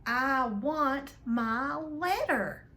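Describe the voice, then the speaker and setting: A woman's voice: high voice, animated, extremely slow, bright, forceful tone, a little hiss or hum, pitched above the performer's own voice, exaggerated voice. A woman voicing a defiant, indignant young boy who makes insistent demands in a storybook reading. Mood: furious, insistent.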